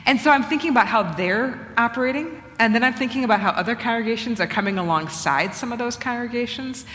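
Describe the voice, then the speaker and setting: A woman's voice: a little high, animated, a bit bright, slight background noise. Earnest woman bishop in a reverberant church. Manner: earnest, measured, thinks aloud with restarts. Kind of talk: conversational church talk. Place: church sanctuary. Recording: long reverb of a church hall.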